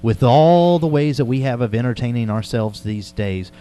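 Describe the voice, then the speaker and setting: Male interviewer, medium pitch, loose conversational delivery, with noticeable hum and background noise. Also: lively intonation, normal speed, dark tone. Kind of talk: casual conversational interview chat.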